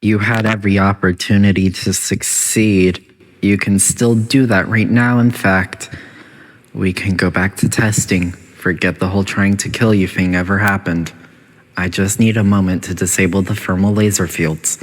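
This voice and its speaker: A man voicing a condescending, passive-aggressive computer that runs a testing facility in a video game, with a measured delivery. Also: low-pitched, fairly even intonation, rough recording. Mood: pleading, bitter. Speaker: test chamber announcer and antagonist. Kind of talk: scripted video game voice lines.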